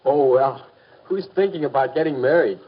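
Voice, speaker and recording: Actor as husky-voiced earnest 1950s American teenage boy. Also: mid-range voice, moderate pace, close to an everyday voice. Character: earnest, polite teenage son and high-school boyfriend. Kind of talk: 1950s educational film acting. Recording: dull, muffled 1950s film soundtrack.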